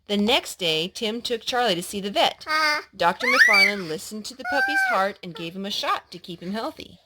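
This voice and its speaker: A woman reading a children's storybook aloud as a storyteller, in an animated way meant for a child, pausing to explain and ask questions. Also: medium pitch, heavy background noise.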